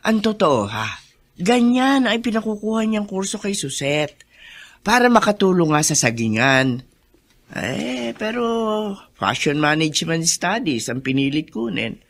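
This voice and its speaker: A woman's voice: on the low side, very animated, unhurried, exaggerated voice. A woman playing a kindly older housekeeper in a Filipino radio drama, chatty and encouraging in her delivery. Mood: chatty, animated.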